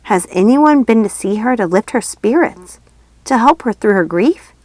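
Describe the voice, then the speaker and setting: Woman as a coaxing, higher-voiced dark fairy. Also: lively intonation, moderate pace, no echo. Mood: concerned, kind. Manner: sweet, understanding, coaxing.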